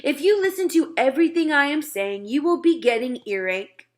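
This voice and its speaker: A woman voicing a gentle, secretive male giant from a children's storybook, with muddled grammar and whimsical made-up words. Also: sits high, moderate pace, pitched above the performer's own voice, lightly acted. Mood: suspicious, grumbling. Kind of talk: children's storybook read-aloud.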